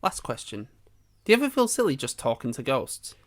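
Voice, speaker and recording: A woman's voice: very deep voice, sing-song, a little fast. A matter-of-fact podcast presenter played by a woman in a scripted audio comedy. The delivery is plain, and the sound is dry with no room echo.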